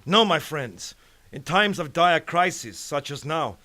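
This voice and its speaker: A man's voice: a little high, lively intonation, measured pace, bright, forceful tone. A man playing a pompous, bombastic United Nations leader who blusters and cuts others off in a satirical radio serial. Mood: grandiose. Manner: grandiose, blustering, cutting others off.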